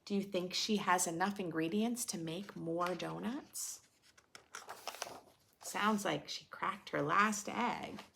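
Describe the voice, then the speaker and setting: A woman reading a children's storybook aloud in a dramatic, playful teacher's way, pausing to put questions to the listener. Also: fairly low pitch, creaky, fairly bright tone, a little hiss or hum. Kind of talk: expressive storybook read-aloud with teaching asides.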